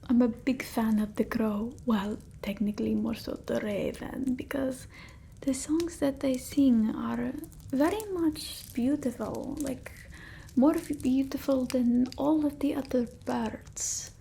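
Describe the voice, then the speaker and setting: A woman voicing an eccentric centuries-old female vampire in an ASMR roleplay, speaking softly and often whispering, close to the mic. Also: high voice, fairly even intonation, slight background noise, exaggerated voice. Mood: delighted, then wistful. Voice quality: soft, breathy, often whispered. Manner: halting, theatrical, with quaint phrasing. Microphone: close ASMR microphone.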